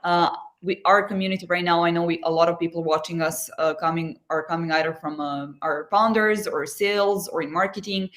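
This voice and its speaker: A man's voice: a little high, steady tone, a little fast, a bit bright. Serbian-accented man hosting a webinar. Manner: hesitant, lots of uh and obviously. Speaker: webinar host. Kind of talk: hosting a live webinar interview. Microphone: video call mic.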